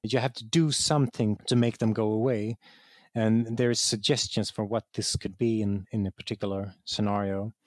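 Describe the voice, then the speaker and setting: A man giving conversational explanations as an interview guest, halting and correcting himself as he searches for words. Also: on the low side, fairly even intonation, no room sound. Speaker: guest, game writer.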